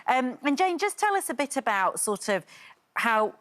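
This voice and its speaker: A woman with a Scottish accent hosting a morning TV interview, gently prompting her guest. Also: high-pitched, very animated, normal speed. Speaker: TV host. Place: TV studio.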